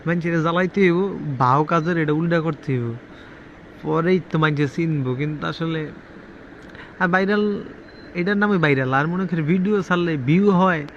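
Muffled audio with faint noise carries a Bangladeshi man's voice, a little higher than usual. He talks casually and colloquially, explaining things as on a phone call. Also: brisk, pauses often, fairly bright tone.

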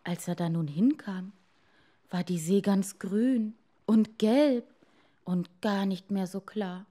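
A woman storyteller reading a fairy tale for bedtime in an expressive, dramatic way. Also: medium pitch, slow. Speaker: storyteller, podcast host.

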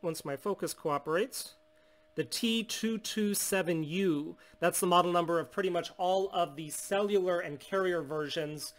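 A man in his thirties from the United States, a steady, salesy business owner explaining a tablet unlock to camera in a small room. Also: high-pitched, moderate pace. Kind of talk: scripted product demonstration. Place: small indoor room at home.

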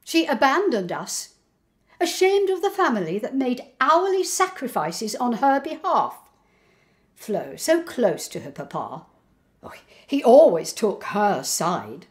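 A woman playing a senile, resentful old Victorian mother in a dramatic monologue, haughty and aggrieved with theatrical pauses. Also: fairly high pitch, very animated.

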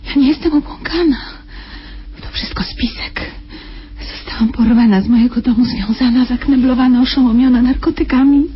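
An actress playing a desperate captive wife in a radio drama, imploring and halting as she pleads to be believed. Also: fairly high pitch, close to an everyday voice. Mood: desperate pleading. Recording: dull, noisy old radio drama recording.

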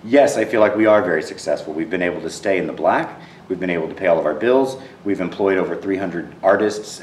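A man's voice: sits low, slight background noise. A man in his thirties, a theater director, answering interview questions earnestly and often repeating words as he searches for them. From Texas. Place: empty theater auditorium. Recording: roomy, distant sound in a theater.

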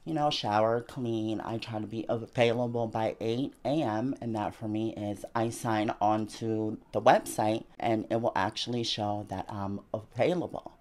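A woman's voice: rumbling low, normal speed, hardly any pauses, darkish tone. A woman in her twenties, from Argentina, talking candidly in an interview in a small bedroom, full of "you know" and "like" fillers, into a handheld mic. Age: 20s. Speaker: interview guest. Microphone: handheld mic held by interviewer.

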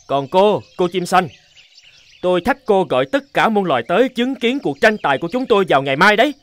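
Boastful, swaggering cartoon crow, high-pitched. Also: male voice, expressive, fairly quick, fairly bright tone. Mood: challenging. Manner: smug, swaggering, theatrical. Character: boastful, scornful, scheming male crow. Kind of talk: children's cartoon dialogue.

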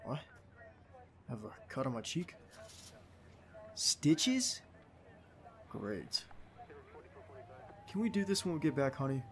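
A man's voice: mid-range voice, big pitch swings, takes it slowly, creaky, pauses often, a little hiss or hum, full character voice. A man playing a young male field agent, a doting, stubborn, playful boyfriend, in an ASMR roleplay audio drama. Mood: subdued, soothing. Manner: affectionate, teasing, protesting.

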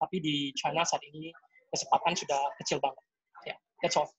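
Husky man, quick and casual. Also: fairly high pitch, level tone, dry recording, dull audio. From Indonesia. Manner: casual, code-switching, many you knows. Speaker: entrepreneur panelist. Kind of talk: webinar panel answer.

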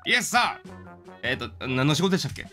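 Eager but timid subordinate guard, acted by a male streamer, hesitant and obedient, higher voice, game dialogue. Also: big pitch swings, quick pace, pushed and bright, faint music behind. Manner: deferential, hesitant questions. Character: meek, eager underling park guard.

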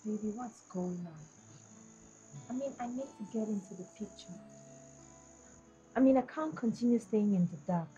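A woman's voice: mid-range voice, normal speed, pauses often, mellow, muffled recording, soft background music, close to an everyday voice. An actress playing a suspicious young girlfriend who presses her partner for the truth. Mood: pleading. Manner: pressing, questioning.

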